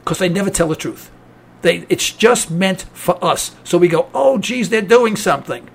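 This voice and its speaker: A man's voice: high-pitched, animated, moderate pace, creaky. A man with a New York accent hosting a talk program, delivering a cynical political rant. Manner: cynical, ranting. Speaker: radio talk host.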